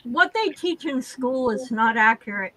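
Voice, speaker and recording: A woman's voice: sits high, expressive, unhurried, a bit bright, rough recording. A woman from Massachusetts with an American accent, chatting casually in an outspoken, emphatic way. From Massachusetts, United States. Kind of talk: casual group chat.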